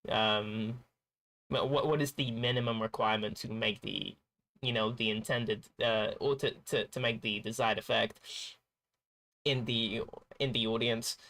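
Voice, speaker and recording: A man's voice: fairly low pitch, fairly even intonation, measured pace, sharp tone. A man chatting casually on a stream, rambling and restarting his sentences as he searches for words. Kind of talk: casual chat while gaming.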